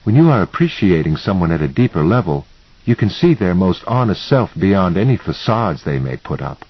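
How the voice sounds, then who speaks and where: Man reading as calm, husky, insistent woman mentor. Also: low-pitched, normal speed, no room sound, dull audio, heavily acted. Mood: calm, instructive. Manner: instructive, matter-of-fact.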